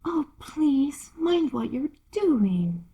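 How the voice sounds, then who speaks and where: A woman voicing a polite, timid, curious young girl with a breathy, careful delivery. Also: sits high, sing-song, drawn-out words, mellow, voice pushed up, full character voice. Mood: alarmed.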